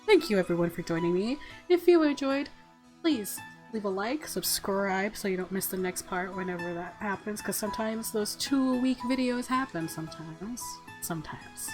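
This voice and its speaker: Female let's-player, excitable and gushing, narrating a visual novel over faint music. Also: medium pitch, normal speed. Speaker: host of a gaming channel. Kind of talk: let's play commentary and reading game narration aloud. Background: soft game music.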